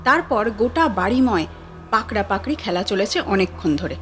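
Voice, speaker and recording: A woman narrating a scripted ghost story in a lively, wry storytelling manner. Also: mid-range voice, normal speed. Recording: sitar music bed behind.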